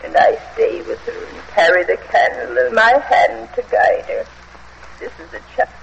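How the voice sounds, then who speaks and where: An actress playing a frail, dying old woman servant in a radio horror drama, quavering, halting and anguished. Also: fairly high pitch, wildly swooping pitch, vocal fry, full character voice. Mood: haunted, sorrowful. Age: older. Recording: muffled, hissy old radio drama recording.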